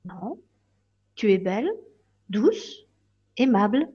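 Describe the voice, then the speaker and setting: A woman voicing a gentle, loving fairy in a storybook reading, speaking softly and soothingly. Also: medium pitch, lively intonation, normal speed, breathy edge, muffled recording. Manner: soft, hushed, soothing.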